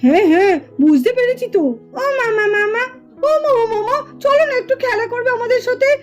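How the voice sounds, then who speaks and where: Very high excitable little cartoon kids. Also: female voice, fairly quick. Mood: pleading. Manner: excitable, pleading, repetitive. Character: eager, playful small children pestering their uncle. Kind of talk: sing-song children's puppet cartoon acting.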